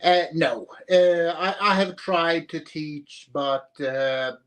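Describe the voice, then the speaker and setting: Man in his 50s, podcast guest; slow, halting delivery, repeats words. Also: fairly high pitch, a bit bright. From Sweden. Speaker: guest author. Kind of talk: podcast interview.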